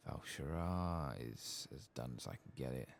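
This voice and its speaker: A soft-spoken man with a husky, gravelly voice, murmuring unhurriedly as he talks through a video game in ASMR style. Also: a bass voice, lively intonation, unhurried.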